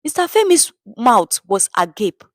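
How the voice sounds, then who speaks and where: A woman's voice: a little high, big pitch swings, unhurried, no echo, studio quality. A woman with a Nigerian accent narrating a scripted story in a dramatic storytelling style.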